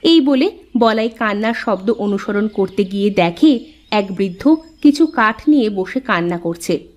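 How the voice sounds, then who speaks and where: A woman's voice: mid-range voice, animated, unhurried. A woman narrator reading a scripted cartoon story.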